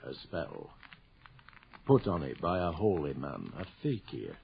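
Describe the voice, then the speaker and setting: An actor playing a haunted sergeant major back from India, grave and foreboding, telling it with theatrical pauses in an old-fashioned radio horror style. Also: male voice, extremely low pitch, huge pitch swings, gravelly, a little hiss or hum, heavily acted. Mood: ominous. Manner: theatrical, ominous storyteller with dramatic pauses. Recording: dull, lo-fi old radio drama recording.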